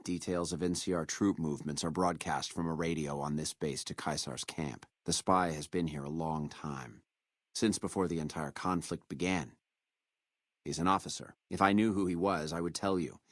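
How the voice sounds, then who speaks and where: Deep-voiced, menacing captive officer. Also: male voice, steady tone, no room sound, clean studio sound. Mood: guarded, grave. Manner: contemptuous, measured, menacing delivery. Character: menacing, proud captured military officer of a ruthless legion. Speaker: video game voice actor. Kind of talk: video game character dialogue.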